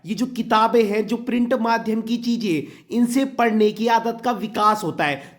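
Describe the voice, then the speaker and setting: A man's voice: extremely high pitch, a bit bright. A male teacher with a sore throat, giving an exam-prep lesson to students, listing points one by one and repeating them. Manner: often checks in with "theek hai".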